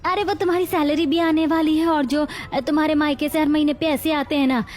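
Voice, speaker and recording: Woman acting a scheming, high-voiced mother-in-law. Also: steady tone, a little fast, darkish tone, lightly acted. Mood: coaxing. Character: flattering, scheming, greedy middle-aged mother-in-law. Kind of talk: acted Hindi family story dialogue.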